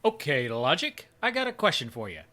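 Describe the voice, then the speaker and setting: A man's voice: fairly high pitch, huge pitch swings, brisk, very noisy. A man playing a sheepish, joking working-class husband and technician in a radio comedy, hesitant and folksy. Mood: amused, curious. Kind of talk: old-time radio comedy acting.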